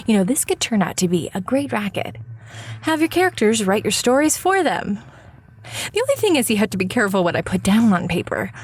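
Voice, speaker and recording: A woman's voice: medium pitch, big pitch swings, brisk, slightly rough. A woman playing a weary writer in an audio drama, musing and confiding in the listener with wry, self-mocking asides.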